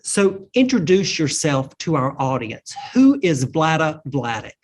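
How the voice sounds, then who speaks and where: Male interview host, formal broadcast style, measured and polished delivery. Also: fairly high pitch, big pitch swings.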